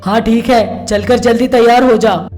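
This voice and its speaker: Older village mother-in-law a woman acting her out, sharp-tongued and exasperated, on the high side, for a moral-comedy storybook tale. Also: fairly even intonation, moderate pace, soft background music, close to an everyday voice. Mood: impatient. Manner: sharp, scolding, sarcastic rhetorical questions.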